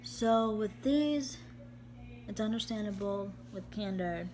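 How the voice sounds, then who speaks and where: Slow, halting woman over a humming background. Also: medium pitch. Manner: slow, halting delivery with repeated words and restarts; steady, even tone. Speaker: vlogger. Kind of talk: reading a text aloud to camera. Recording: steady background hum.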